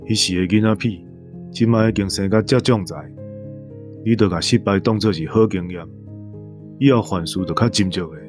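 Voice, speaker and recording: A man playing a kindly, forgiving elder in a radio drama, giving gentle, encouraging advice. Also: fairly low pitch, moderate pace, long pauses, over music, lightly acted.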